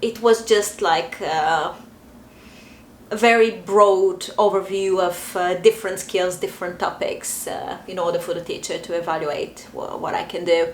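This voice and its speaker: A young adult woman with a Bulgarian accent, talking casually to camera and pausing often with fillers. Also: fairly low pitch, fairly even intonation, a little hiss or hum. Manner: chatty, hesitant, many fillers. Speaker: vlogger. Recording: echoey room, faint ambience.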